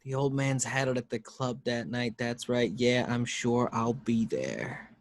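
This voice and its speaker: A man's voice: medium pitch, measured pace, no room sound, natural voice. A man playing a wry, hard-boiled private detective, speaking tersely in an amateur script read. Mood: muttered, intent.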